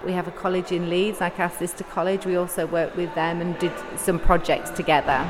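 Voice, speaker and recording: A woman's voice: fairly low pitch, level tone, a little fast. A woman, a college deputy head, answering interview questions earnestly with some restarts. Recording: long hall reverb with faint background noise.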